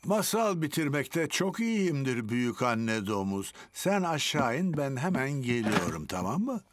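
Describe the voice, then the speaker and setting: A man's voice: mid-range voice, sing-song, a little slow, noisy background. A man voicing a kindly, boastful old grandfather pig in a children's cartoon dub, an indulgent and self-assured storyteller. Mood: kindly, confident.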